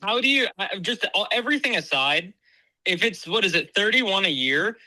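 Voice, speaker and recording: A man's voice: sits high, lively intonation, normal speed, bright, forceful tone, no echo, poor audio. A man calling in to a sports podcast who stammers and then fires off rhetorical questions. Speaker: caller. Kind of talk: call-in opinion.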